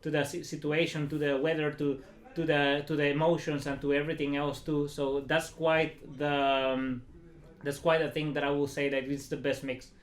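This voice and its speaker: Higher-voiced man, echoey room. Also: level tone, normal speed. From El Salvador. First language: Spanish. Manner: informal, chatty, peppered with filler phrases. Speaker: interview guest, startup founder. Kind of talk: casual interview talk.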